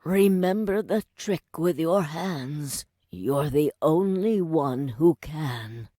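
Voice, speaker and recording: An actress playing a frail, dying old grandmother in a video game, solemn and pleading, with a breathy, husky voice. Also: deep voice, lively intonation, mellow, no room sound, studio quality, exaggerated voice. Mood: grave, weak. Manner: slow, solemn, pleading storyteller delivery. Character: frail old dying grandmother, urgent, remorseful, mystical mentor. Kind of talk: dramatic video game voice acting.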